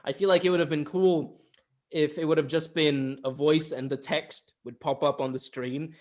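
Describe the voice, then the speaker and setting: Man, fairly high voice, casual podcast co-host, informal opinionated movie talk. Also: fairly even intonation, moderate pace, a little mellow. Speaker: film review podcast co-host. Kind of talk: casual chat, opinionated film discussion.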